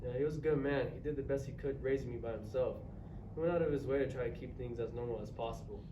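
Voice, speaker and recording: A man's voice: medium pitch, quick pace, natural voice. A male drama student playing a bitter, closed-off, self-centred man in a staged reading. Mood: wounded, sad. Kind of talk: staged reader's theatre performance. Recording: distant echoey noisy live stage recording.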